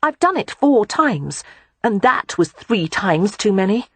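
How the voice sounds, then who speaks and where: A woman's voice: mid-range voice, sing-song, unhurried, slightly rough, no echo, voice pushed up, heavily acted. A woman voicing a spirited, independent young woman in an audiobook, wry and frank. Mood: wry, weary. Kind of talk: audiobook character voice.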